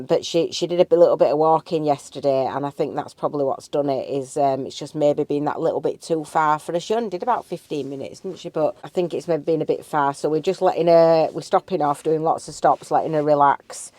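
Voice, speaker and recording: A young woman with a low voice talks on a travel vlog while nursing a cold. She rambles along chattily, filling gaps with ums. The sound is close and dry, with no room around it. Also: darkish tone, dry recording. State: has a cold. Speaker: vlogger. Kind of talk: casual travel vlog chat.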